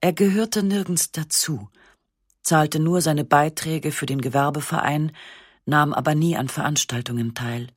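A woman narrating a literary text in the first person, with a measured, restrained and sober delivery on a close studio microphone. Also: deep voice, husky, dry recording. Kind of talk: literary reading of a memoir-like novel.

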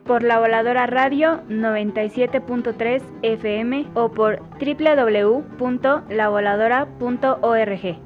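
A woman's voice: fairly high pitch, unhurried, few pauses. A woman with a Mexican accent presenting a scripted radio show introduction. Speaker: radio co-host. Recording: dull lo-fi sound, music behind.

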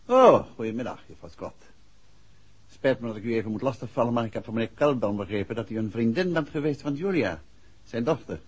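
Courteous investigator, lively husky male voice. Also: mid-range voice, a little fast. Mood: polite, coaxing. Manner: polite, coaxing. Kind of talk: 1960s radio drama acting. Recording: muffled old 1960s radio recording.

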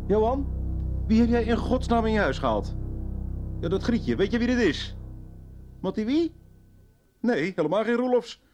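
A man's voice: high-pitched, lively intonation, normal speed, pauses often, darkish tone, soft background music. An actor playing an agitated man in a radio soap, bluntly and angrily accusing someone over the phone. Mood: angry, alarmed.

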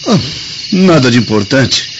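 A young Roman officer, uneasy and defensive. His voice jumps in pitch, in a muffled radio play with clear cricket noise. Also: big pitch swings, a little slow. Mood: evasive, defensive. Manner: defensive, evasive. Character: evasive, nervous young Roman soldier son-in-law. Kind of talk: radio drama acting.

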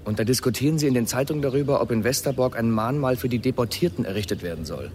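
An actor playing an earnest, intense astronomer in a German radio drama, speaking emphatically and reflectively. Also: male voice, medium pitch, quick pace, darkish tone, slight background noise, natural voice. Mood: indignant, intense. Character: Max, earnest astronomer man, brooding and intense.

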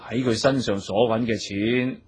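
A Cantonese gang boss in a radio drama. His mid-pitched voice has a rough husk to it. He instructs his men deliberately, with measured authority and a lecturing streak. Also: steady tone, darkish tone. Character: authoritative male gang boss and club owner, reforming, measured.

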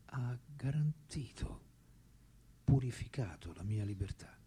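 An actor playing an elderly French philosopher in a radio drama, reflective and unrepentant, speaking in a measured way that often drops toward a breathy whisper. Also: male voice, mid-range voice, animated, mellow, a little hiss or hum, close to an everyday voice. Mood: serene.

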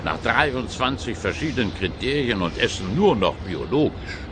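Deep, self-righteous neighborhood spokesman. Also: sing-song, normal speed, background noise. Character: earnest, self-righteous male neighborhood association representative. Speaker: client. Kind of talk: radio play dialogue.

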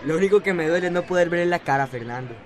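A man's voice: sits high, racing, close to an everyday voice. Male actor as rebellious, boastful teen boy, Costa Rican accent, radio drama, busy crowd around him. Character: Andrés, cocky, rebellious teenage schoolboy. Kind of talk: radio soap opera acting.

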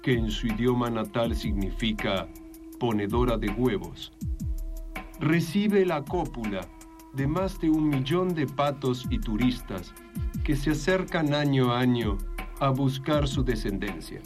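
A man's voice: medium pitch, natural voice. Man doing a solemn nature documentary narrator over music. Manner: grave, measured, mock-serious.

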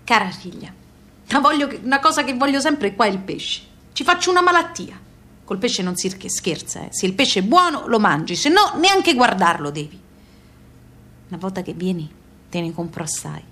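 A woman's voice: medium pitch, sing-song, normal speed, bright, forceful tone. An actress playing an ailing, affectionate older mother with a southern Italian accent, speaking plainly and repetitively to her daughter in a radio play. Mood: fussy, insistent. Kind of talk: theatrical monologue on radio.

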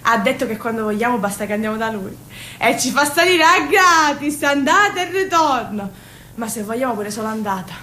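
Brash dreamy sicilian girl onstage, high voice, emotional, roomy echo. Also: animated, a little fast, pushed and bright. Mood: restless, pleading. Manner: emotional, swinging between confiding and exclaiming. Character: Rosaria, brash, dreamy young Sicilian woman, poor and yearning. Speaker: stage actress. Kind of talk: theatrical monologue in Sicilian-inflected dialect. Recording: roomy sound, faint low rumble.

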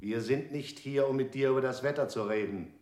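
A man's voice: mid-range voice, brisk, roomy sound, music in the background, lightly acted. An actor playing a stern, impatient male interrogator in a radio play, speaking in curt statements.

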